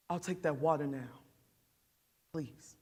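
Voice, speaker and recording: A woman's voice: low voice, dark tone, breathy edge, pitched below the performer's own voice, full character voice. Woman acting a bitter, wounded, defensive mother. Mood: tense, subdued. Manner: halting, defensive, with pointed rhetorical questions. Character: embittered, wounded mother deciding her attacker's punishment. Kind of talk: dramatic stage monologue.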